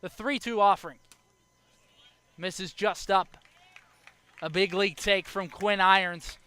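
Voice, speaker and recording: A young adult man doing live baseball play-by-play as the announcer, speaking in short clipped phrases with measured pauses. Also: high-pitched, fairly even intonation, pushed and bright, clear, resonant tone. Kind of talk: live sports play-by-play commentary.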